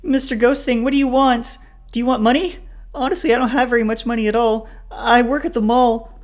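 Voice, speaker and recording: Casting: male reader as hysterical husky man, high-pitched and loud, melodramatic, frantic storybook character. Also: steady tone, fairly quick, lightly acted. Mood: terrified babbling. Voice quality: shrill. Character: panicked husky man, babbling and shrieking in terror.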